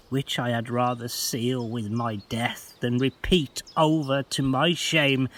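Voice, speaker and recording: Remorseful villain, slow delivery, fairly high voice, solemn, Shakespearean audio play. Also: male voice, a bit bright. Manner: solemn, measured confession. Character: remorseful villainous henchman confessing his guilt.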